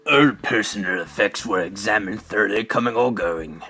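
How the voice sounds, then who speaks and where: A man's voice: lowish voice, normal speed, bright, forceful tone, husky. A man playing a pompous, secretive older space center director in a video game fandub, speaking in a theatrical, declamatory way. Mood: bitter. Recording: amateur recording with music.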